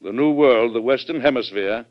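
An actor playing a hearty, forceful American president, emphatic and statesmanlike, in an old-time radio drama. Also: male voice, medium pitch, moderate pace, close to an everyday voice. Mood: firm, forceful. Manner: emphatic, declamatory. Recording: dull vintage radio recording.

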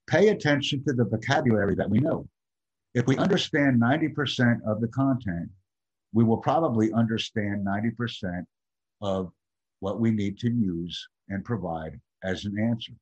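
A husky-voiced man lectures an exam prep class in measured, repetitive phrases. His voice comes through muffled, dull online call audio. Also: fairly low pitch, darkish tone. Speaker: English exam teacher.

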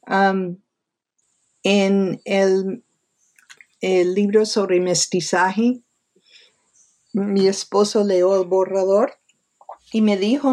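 Slow, thoughtful woman explaining her research. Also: medium pitch, dark tone. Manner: thoughtful, halting, explanatory with long pauses between phrases. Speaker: interviewed author and academic researcher. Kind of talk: interview answer, unscripted explanation.

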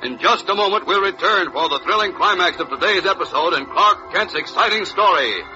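A male serial announcer in dramatic 1940s radio style, emphatic and full of suspense. His pitch is high, and loud music plays behind a muffled recording. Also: animated, brisk.

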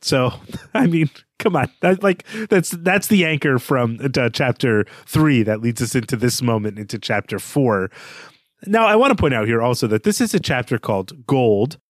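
A man's voice: mid-range voice, lively intonation, moderate pace, dry recording, studio quality. A man co-hosting a literary podcast, reading a passage aloud and then thinking through the names in it. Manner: reads aloud from the novel, then muses over names. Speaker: podcast co-host.

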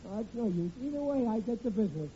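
An actor playing a hapless, blustery bridegroom in an old radio comedy, speaking in a flustered, colloquial way. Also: male voice, high-pitched, animated, fast talker, dark tone. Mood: glum, flustered. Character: hapless, panicky working-class bridegroom in trouble. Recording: muffled, hissy vintage radio recording.

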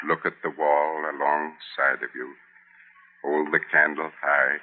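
An actor playing a vengeful dead man risen from the grave, speaking with slow, solemn menace in old-time radio horror style. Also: flat delivery. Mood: ominous. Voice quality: very deep, gravelly. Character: vengeful walking corpse of a wronged worker. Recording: muffled old radio recording.

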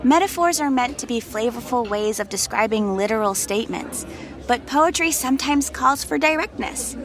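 A woman's voice: fairly high pitch, animated, normal speed, some chatter behind. A woman in an audio drama playing an exacting daughter, matter-of-fact and instructive as she talks to her father.

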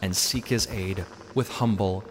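A man voicing a solemn, fervent messenger of God in a storybook reading, preaching in measured archaic phrases. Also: sits low, steady tone, faint music behind, low-quality recording. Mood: intense prayer. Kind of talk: dramatic storybook narration.

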